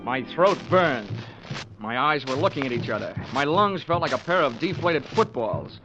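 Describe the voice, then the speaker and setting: A man plays a hard-boiled American private investigator in an old radio drama. He is wry and sardonic, tossing off wisecracks in a mid-to-low voice over music. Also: animated, moderate pace, lo-fi sound, natural voice. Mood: wry narration. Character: wry, hard-boiled male investigator narrating his case. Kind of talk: 1940s radio detective acting. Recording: 1940s radio drama recording with organ music.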